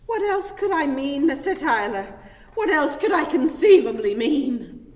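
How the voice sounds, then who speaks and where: Very high-pitched, prim spinster. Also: female voice, sing-song, a little slow, a little mellow. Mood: prim, impatient. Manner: prim, fretful, over-precise. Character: prim, anxious, shrill spinster, melodramatically fearful. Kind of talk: stage radio play acting. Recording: echoey hall reverb.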